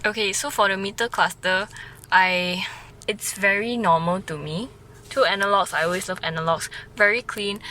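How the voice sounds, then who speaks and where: Young woman as a chatty car reviewer, medium pitch, faint background hum. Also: moderate pace, poor audio. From Malaysia. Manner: chatty and excitable, with bursts of delight. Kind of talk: casual car walkaround review. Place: in and around a car.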